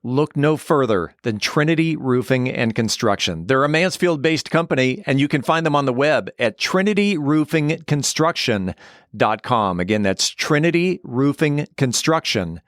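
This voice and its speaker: A man's voice: medium pitch, lively intonation, moderate pace, no room sound, clean studio sound. A man presenting scripted news and sponsor reads for a podcast.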